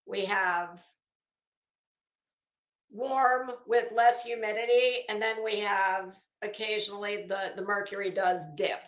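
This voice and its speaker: An American woman hosting a livestream, chatting casually with viewers in a sarcastic, blunt, sweary and animated way. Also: medium pitch, slow pace. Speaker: livestream host. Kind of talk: casual chat with live viewers. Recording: roomy, echoey sound.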